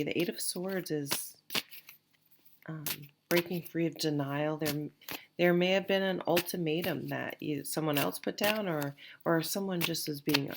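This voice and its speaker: A woman's voice: sits low, vocal fry, background noise. A woman giving a casual tarot reading, a bit nasal and sniffly from allergies, rambling and reassuring. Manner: rambling, reassuring, many restarts and fillers. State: sniffly, stuffed-up. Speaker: tarot reader.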